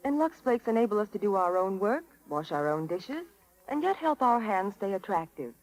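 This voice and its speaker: A woman's voice: mid-range voice, big pitch swings, normal speed, a little mellow, low-quality recording. Genteel American woman, 1930s radio spokeswoman. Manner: genteel, earnest. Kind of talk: scripted 1930s radio advertising talk. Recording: dated 1930s radio broadcast.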